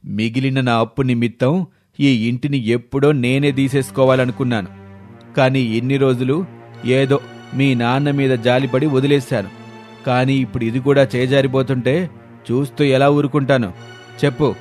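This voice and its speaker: A man's voice: medium pitch, fairly even intonation, normal speed, darkish tone, faint music behind, lightly acted. A man voicing a stern, grasping village moneylender in a dramatised moral story. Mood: threatening.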